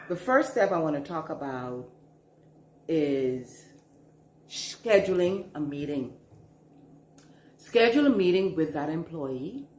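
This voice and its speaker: A woman's voice: deep voice, a little mellow, echoey room, slight background noise. A middle-aged woman from the Bahamas with a Bahamian accent, a business consultant giving a chatty talk to camera and repeating words for emphasis. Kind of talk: casual instructional talk to camera.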